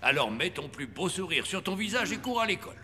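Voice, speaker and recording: Swooping, theatrical old Santa. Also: male voice, sits high, a little fast, very noisy. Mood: gentle fatherly. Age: older. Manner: encouraging, avuncular, expressive. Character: kindly, theatrical old Santa Claus, fatherly mentor. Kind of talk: French children's cartoon dubbing.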